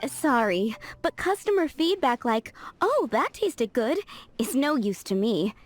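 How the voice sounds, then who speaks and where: An actress playing a proud, busy female cook in a game cutscene, brisk and blunt. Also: high voice, sing-song, no echo, soft background music, full character voice. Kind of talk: video game cutscene dub.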